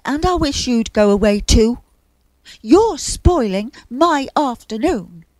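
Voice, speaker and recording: A woman's voice: on the high side, lively intonation, slow, a little mellow, dry recording, pitched above the performer's own voice. A woman voicing a grumpy male bear in a children's story, with a blunt, emphatic delivery. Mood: demanding. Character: grumpy male bear who likes his comforts.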